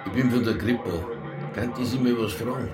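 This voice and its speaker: An actor playing a fat, blunt, hard-drinking police detective in a radio crime drama, with a Bavarian accent and a dry, laconic manner. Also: male voice, mid-range voice, fairly quick, echoey room, music in the background, natural voice. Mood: matter-of-fact.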